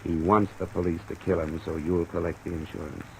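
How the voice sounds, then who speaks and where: Deep-voiced hard-boiled detective. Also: male voice, little pitch movement, a little fast, gravelly, a little mellow, poor audio. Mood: blunt, pressing. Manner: blunt, hard-boiled, pressing. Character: tough, cynical, blunt male insurance investigator. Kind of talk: old-time radio detective drama acting. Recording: old radio recording with faint hiss.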